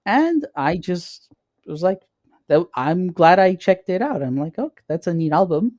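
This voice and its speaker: A man co-hosting a podcast, chatting informally and haltingly, often repeating words as he goes. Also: high-pitched, sing-song, a little mellow, no room sound. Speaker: podcast co-host. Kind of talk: casual chat.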